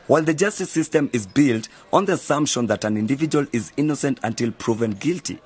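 A man playing a knowledgeable, easygoing mentor in an educational radio drama, explaining things in a measured, articulate way. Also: medium pitch, expressive, darkish tone, slightly rough. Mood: serious, explanatory. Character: knowledgeable, easygoing male media mentor advising young friends.

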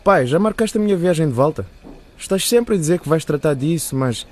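A man's voice: a little high, animated, a little fast, slight background noise, close to an everyday voice. A man playing an impatient, restless teenage schoolboy in a radio drama.

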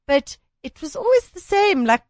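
A woman's voice: very high voice, very animated, normal speed, dry recording. A woman co-hosting a casual chat, wry and opinionated.

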